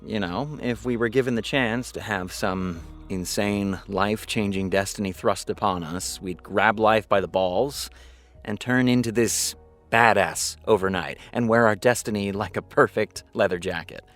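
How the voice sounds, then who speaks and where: A man's voice: fairly low pitch, a bit bright. A man playing a reflective, earnest show creator giving a solemn documentary-style interview.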